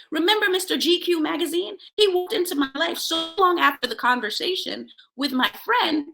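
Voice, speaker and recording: A woman's voice: high voice, creaky, sharp tone, low-quality recording. A woman hosting a self-help show, giving a motivational talk to viewers with personal stories, in an emphatic way that sometimes drops toward a whisper.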